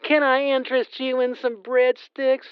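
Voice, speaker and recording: A woman's voice: high voice, level tone, moderate pace, clear, resonant tone, no echo, muffled recording, lightly acted. A woman playing a new young pizza clerk who keeps upselling in a children's comedy skit. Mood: chirpy. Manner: chirpy upselling, flustered.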